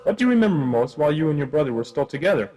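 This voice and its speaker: A man playing a hapless, wry private detective in a comic noir radio play, delivered deadpan. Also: on the high side, rapid-fire, a little hiss or hum. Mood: curious.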